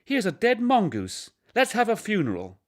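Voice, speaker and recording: A man voicing an excitable small boy in a storybook reading. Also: high voice, moderate pace, voice pushed up. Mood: matter-of-fact, excited.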